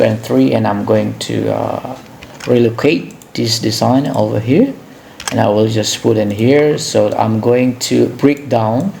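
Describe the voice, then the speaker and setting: A man from Indonesia with an Indonesian accent narrates an informal software tutorial as host, in a hesitant, halting way, often repeating himself. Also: mid-range voice, vocal fry, a little hiss or hum.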